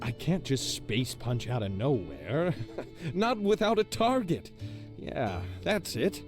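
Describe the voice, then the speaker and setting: An actor playing a pompous, boastful self-styled hero in a video game, with grandiose, drawn-out delivery and insecurity underneath. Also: male voice, mid-range voice, very animated, faint music behind. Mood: defensive. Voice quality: theatrical, exaggerated delivery. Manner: grandiose, mock-heroic, drawn-out emphatic phrasing.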